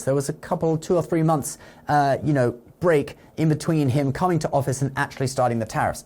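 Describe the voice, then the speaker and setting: A man's voice: medium pitch, a little mellow. A man presenting online finance commentary in an explanatory way, often checking in with the listener with short tag questions. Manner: explanatory, checks in with "okay?" and "all right?". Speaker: finance news video host. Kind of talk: explainer commentary to camera with sponsor read.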